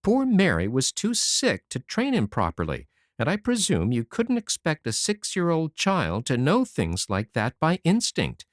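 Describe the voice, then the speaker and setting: A reader voicing a practical, measured older woman guardian, in an audiobook. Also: mid-range voice, wildly swooping pitch, dry recording, studio quality, voice pushed up. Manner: measured, practical, matter-of-fact.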